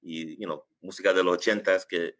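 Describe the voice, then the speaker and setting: A middle-aged man from New York telling his story as an interview guest, halting often and dropping filler phrases in. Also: low voice, fairly even intonation, a bit bright. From United States, New York. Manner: halting, sprinkles English filler phrases into Spanish. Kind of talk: casual personal storytelling in an interview.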